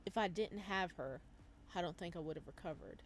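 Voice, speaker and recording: A woman's voice: lowish voice, fairly quick, a little hiss or hum, lightly acted. A woman voicing a guarded, blunt woman who left a company, wry and matter-of-fact, in a visual novel read-aloud. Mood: earnest. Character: guarded, blunt ex-employee turned community organiser, woman.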